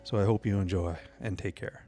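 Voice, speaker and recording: A fairly low-voiced man introduces his guest on a podcast. He talks hesitantly, filling his pauses with uhs, while faint guitar music plays behind him. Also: fairly even intonation, dark tone. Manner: hesitant, with many filler pauses. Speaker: podcast host and course instructor.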